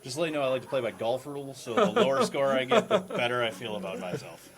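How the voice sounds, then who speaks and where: A male comedy panelist with a mid-pitched, very animated voice. He banters casually and tosses out wisecracks in a loose conversational style. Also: quick pace.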